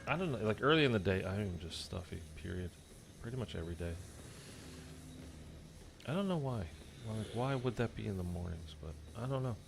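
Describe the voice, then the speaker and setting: A man's voice: lowish voice, lively intonation, brisk, gravelly, pauses often, noisy background. A man casually chatting through a zombies game session, rambling with lots of fillers and sounding stuffy and congested. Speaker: gaming streamer. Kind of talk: casual gameplay commentary.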